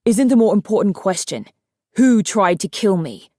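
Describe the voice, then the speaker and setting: A woman's voice: fairly low pitch, normal speed, a little mellow, no room sound, studio quality, natural voice. A woman voicing a young male dragon in an audiobook, arrogant, brusque and sarcastic, with a defensive hot temper. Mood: indignant shouting.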